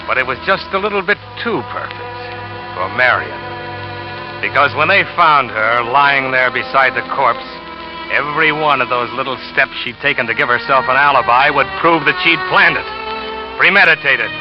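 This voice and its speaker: A man's voice: mid-range voice, natural voice. An actor playing a desperate man who narrates his own failed murder plot in a 1940s radio drama, with tense, driven narration. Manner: tense first-person narration, rising to panic. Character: desperate man, scheming lover turned trapped victim. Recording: muffled old radio broadcast with music.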